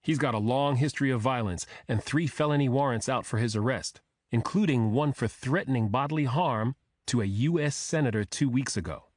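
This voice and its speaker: A man's voice: medium pitch, fairly quick, darkish tone, no room sound, pitched above the performer's own voice, close to an everyday voice. A man voicing an urgent police dispatcher in an audiobook, official and factual.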